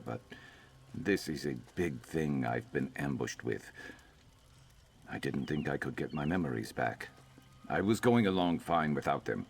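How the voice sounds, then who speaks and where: Weary lizard-folk fighter for a fantasy game: extremely low, gravel-throated, guarded and plainspoken. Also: male voice. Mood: troubled, reflective. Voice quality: very deep, gravelly. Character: weary, guarded male Argonian lizard-folk warrior, former slave. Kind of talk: video game character dialogue.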